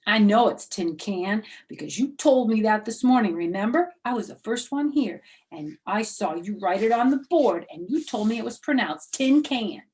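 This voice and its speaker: A woman's voice: medium pitch, animated, normal speed, few pauses, darkish tone, pitched above the performer's own voice, lightly acted. Woman as storybook little girl: smug know-it-all, boastful, stubborn, insistent. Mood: eager, boastful.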